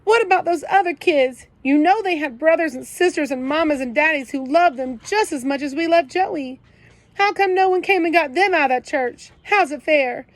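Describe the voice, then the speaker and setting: Sobbing little boy, high and shaky, ashamed and pleading, read by a woman; storybook audiobook. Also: expressive, normal speed, hardly any pauses, no room sound. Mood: tearful pleading. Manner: choked, pleading, trembling. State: crying. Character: tearful ten-year-old boy, sensitive and ashamed.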